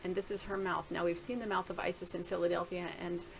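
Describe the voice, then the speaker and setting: A woman's voice: on the low side, level tone, quick pace, vocal fry, a little hiss or hum, rough recording. A middle-aged woman casually talking through a screen-share video blog, rambling and thinking aloud with many restarts. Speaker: video blogger.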